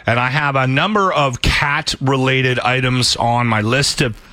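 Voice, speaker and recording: A man's voice: mid-range voice, a little slow, pushed and bright, no room sound. A man hosting a radio show, chatting casually and telling stories in an emphatic, opinionated way. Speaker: radio host. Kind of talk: casual morning radio banter. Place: radio studio. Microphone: close studio microphone.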